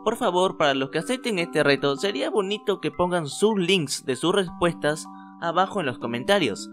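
A man's voice: high voice, normal speed, faint music behind. A man, casually talking to his viewers as a fan dubber. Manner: relaxed, friendly address to viewers. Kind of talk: casual video outro.

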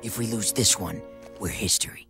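Earnest low-voiced commander. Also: male voice, normal speed, creaky, pushed and bright. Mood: urgent, regretful. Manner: earnest, urgent. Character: earnest, urgent military resistance commander, adult man. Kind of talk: video game cutscene acting. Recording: music behind the voice.

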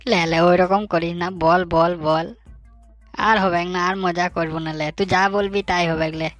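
A man playing a hapless, cheeky young bachelor in a comic cartoon, in colloquial rural western West Bengal dialect with a pitched-up voice. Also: fairly even intonation, measured pace, fairly bright tone, no echo, faint music behind. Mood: pleading. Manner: colloquial, pleading, bantering.

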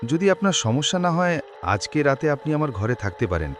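A man's voice: a little high, sing-song, fairly quick, faint music behind. A man playing a polite, frightened young man in a cartoon horror story, with an anxious, dramatic manner. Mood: polite, reassuring.

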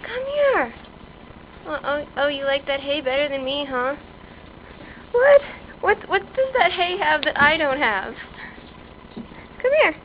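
A woman's voice: high-pitched, very animated, takes it slowly, pauses often. A teenage girl vlogging in a horse stable, cooing to the horses in a whiny baby-talk voice, filmed on a handheld camera. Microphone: handheld camera close up. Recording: muffled lo-fi camera audio with loud noise.

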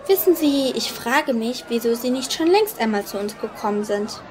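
A woman's voice: a little high, expressive, normal speed, darkish tone, natural voice. A woman playing a suspicious, authoritative policewoman in a children's audio drama. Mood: probing. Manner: stern, authoritative questioning.

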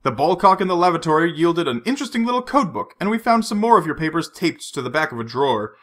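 A man reading a storybook, voicing a suave, menacing male villain who mocks his captive with unhurried threats. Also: fairly high pitch, very animated, fairly bright tone, voice pushed up. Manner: silky, mocking, unhurried threats.

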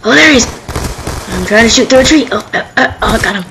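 A woman with a sniffly cold, casually commenting on her own game as she plays, chatty and quick to cry out in fights. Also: on the high side, creaky. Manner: excitable, chatty, cries out during fights. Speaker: gameplay commentator. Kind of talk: casual live gameplay commentary. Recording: faint gunfire and game noise behind.

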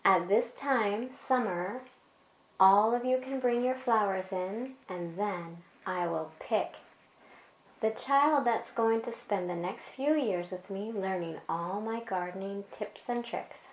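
Woman playing a solemn, slow-talking master gardener. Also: medium pitch, roomy sound, rough recording. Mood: solemn, encouraging. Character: kindly but stern master gardener, a grown man.

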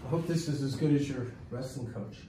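A man's voice: mid-range voice, fairly quick. A man, chatting bluntly and jokingly as a chiropractor working on patients. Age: 50s. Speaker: chiropractor, host. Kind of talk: casual chat with patients while working. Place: small treatment room indoors. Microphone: handheld camera a few feet away. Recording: roomy, distant camera mic, faint noise.

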